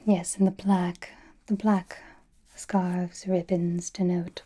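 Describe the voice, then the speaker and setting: A woman playing a centuries-old aristocratic vampire lady in a whispered ASMR roleplay, doting, possessive and softly teasing, with refined manners and a breathy voice. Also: on the low side, little pitch movement, takes it slowly. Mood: possessive, fond.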